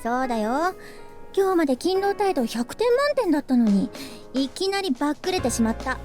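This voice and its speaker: A woman voicing a bright, teasing young coffee shop worker in a visual novel, in a casual, playful way. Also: high voice, very animated, very fast, faint music behind. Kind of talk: Japanese visual novel voice acting.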